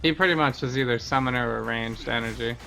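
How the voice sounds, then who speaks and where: A man's voice: mid-range voice, quick pace, vocal fry, bright, forceful tone, soft background music, low-quality recording. A man giving casual gameplay commentary as a streamer, explaining things in a conversational way. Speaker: game streamer.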